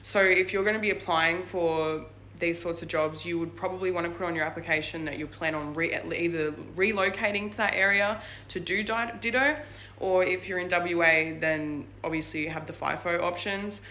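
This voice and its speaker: A woman's voice: fairly low pitch, fairly even intonation, normal speed, bright, forceful tone, slight background noise. Chatty young Australian woman. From New South Wales, Australia. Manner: chatty, lots of "like" and "um" fillers. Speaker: vlogger. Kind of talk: casual advice vlog.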